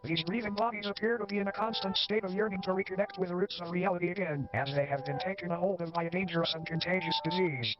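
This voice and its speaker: A high-pitched man played by an actor narrates an analog horror compendium, eerie and formal with wry asides. His delivery is measured and scripted. The sound is dull and band-limited, with prominent music underneath. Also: fairly even intonation, lightly acted.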